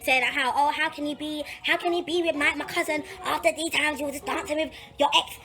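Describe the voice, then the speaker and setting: A young adult woman with a London accent telling a personal story in casual, slangy, animated chat, repeating phrases for emphasis. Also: high-pitched, fast, faint music behind.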